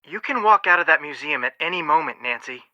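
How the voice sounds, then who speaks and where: An actor playing a cautious, level-headed, skeptical young detective in scripted video game dialogue. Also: male voice, a little high, animated, fairly quick, bright, forceful tone, dry recording, highs cut off. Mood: concerned.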